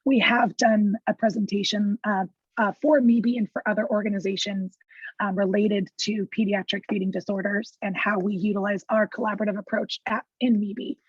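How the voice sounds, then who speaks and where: Hoarse woman hosting a webinar. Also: mid-range voice, fairly even intonation, normal speed, no echo, lo-fi sound. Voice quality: scratchy. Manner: many ums and uhs, warm thanks. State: hoarse, lost voice. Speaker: clinical director, webinar presenter. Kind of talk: webinar introduction. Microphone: video call.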